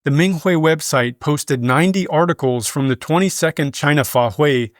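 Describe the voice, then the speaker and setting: A man reading scripted news as a newsreader, in a measured, formal manner. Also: a little high, dry recording, studio quality. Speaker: news reader.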